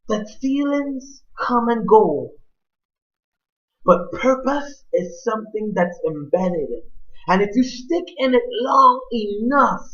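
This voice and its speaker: A male preacher delivers an oratorical sermon in a high-pitched voice. He moves slowly and emphatically through ornate, repeated phrases. The recording is slightly dull and lo-fi. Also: a bit bright. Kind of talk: sermon with rhetorical repetition.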